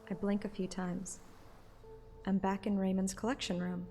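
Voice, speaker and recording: Female story narrator, first-person audiobook read, mid pitch with vocal fry, music bed. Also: little pitch movement, normal speed, warm tone. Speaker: narrator and streamer.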